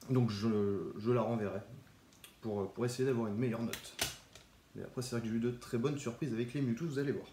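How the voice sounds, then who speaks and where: A young man with a cold talks in a relaxed, chatty way, showing his graded trading cards in a casual vlog. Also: medium pitch, a little fast, roomy sound, noisy background. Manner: relaxed, chatty, with small restarts. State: has a cold, stuffy. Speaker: Pokémon card collector YouTuber. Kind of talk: casual card showcase vlog.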